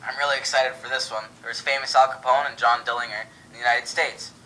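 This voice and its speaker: A man's voice: mid-range voice, level tone, fast talker, pushed and bright, poor audio. A young man reading scripted lines as co-host of an amateur mock radio show. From Canada.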